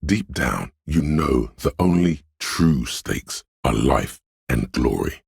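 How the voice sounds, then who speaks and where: A menacing inner voice of fear and aggression from a video game. It is extremely low and gravelly, with a slow, brooding, theatrical delivery that weighs on every phrase. It addresses the listener directly, like a dark narrator urging violence. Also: male voice, very animated, dry recording, studio quality, full character voice. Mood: grim urging. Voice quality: very deep, gravelly, husky. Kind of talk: second-person dramatic video game narration.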